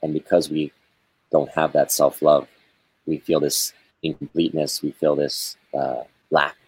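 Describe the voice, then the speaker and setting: A man with a very deep, somewhat husky voice talks in a slow, searching way, pausing often as he reflects. The sound is close and dry, with no room around it. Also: flat delivery, a little mellow, dry recording, low-quality recording. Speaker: spiritual guest. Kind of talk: reflective spiritual conversation.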